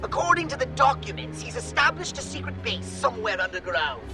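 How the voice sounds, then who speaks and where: A shrill, pompous alien commander. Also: male voice, very animated, brisk, exaggerated voice. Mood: informative. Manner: pompous, theatrical, wordy. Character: fussy, scheming alien commander, dry and superior. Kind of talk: video game cutscene acting. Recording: music behind the voice.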